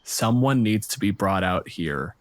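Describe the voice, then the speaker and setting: A man acting as game master, narrating an improvised tabletop story with dramatic pauses and dry humor. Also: fairly low pitch, lively intonation.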